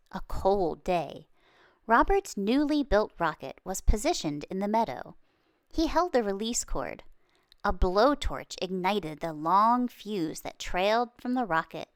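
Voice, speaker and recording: A woman reading a children's story aloud expressively, with dramatic pauses and emphasis. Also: mid-range voice, no room sound, studio quality. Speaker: children's book reader.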